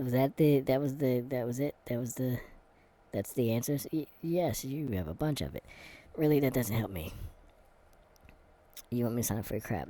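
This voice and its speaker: Sarcastic man with a mellow voice. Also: medium pitch. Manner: sarcastic, deadpan, with dark comic rants; reads quiz text aloud, stumbling and muttering. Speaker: vlogger. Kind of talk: casual commentary while taking an online quiz.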